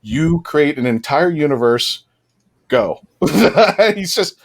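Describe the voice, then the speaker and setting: A man's voice: on the high side, expressive, slow. Enthusiastic man hosting a casual panel chat. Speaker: podcast host on a fan panel.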